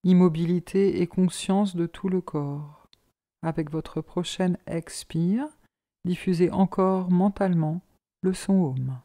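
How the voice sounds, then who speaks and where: A woman guides a meditation at a very slow pace. Her voice is soft-edged and mellow, sitting slightly low. She gives each instruction in a calm, measured, soothing way. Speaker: yoga nidra teacher.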